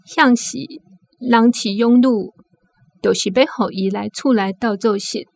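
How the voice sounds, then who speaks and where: A woman's voice: on the high side, fairly even intonation, unhurried, gravelly, a little mellow. An actress playing a plain-spoken, admonishing mother with a Taiwanese accent in a radio drama. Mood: matter-of-fact, admonishing.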